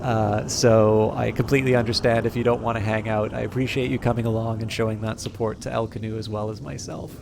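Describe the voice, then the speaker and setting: A man's voice: on the low side, fairly even intonation, brisk, creaky, few pauses. A young adult man from Canada, chatting casually and at length while live-streaming a game, with many fillers and restarts. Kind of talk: casual live-stream chat over gameplay. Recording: noticeable background noise.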